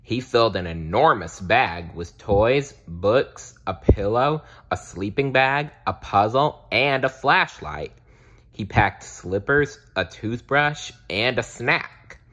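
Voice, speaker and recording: Male children's read-aloud narrator: deliberate and expressive, unhurried, with a bright, raspy edge. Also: on the low side. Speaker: storybook reader.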